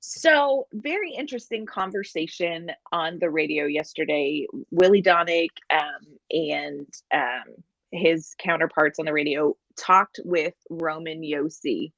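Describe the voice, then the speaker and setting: A woman co-hosting a sports podcast in a chatty, animated way. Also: low voice, a little slow, vocal fry, no room sound. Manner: chatty and animated, apologetic asides. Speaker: podcast co-host. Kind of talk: casual sports podcast chat.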